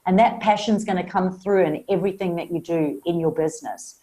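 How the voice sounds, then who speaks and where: A woman's voice: fairly low pitch, fairly quick, dark tone, rough recording. A woman with a South African Australian accent, a marketing coach speaking as a guest in a podcast interview, earnest and upbeat.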